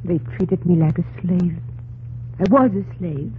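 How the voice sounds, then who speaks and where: A woman's voice: lowish voice, unhurried, close to an everyday voice. An actress playing a frightened but proud young runaway servant girl in an old-time radio drama, earnest and dramatic. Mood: indignant. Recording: narrow, noisy old radio recording.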